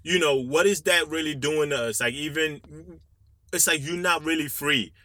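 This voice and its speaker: A man with a fairly deep voice co-hosting a casual podcast discussion, talking through his thoughts in an earnest, reflective way. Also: normal speed, bright, forceful tone. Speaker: podcast co-host.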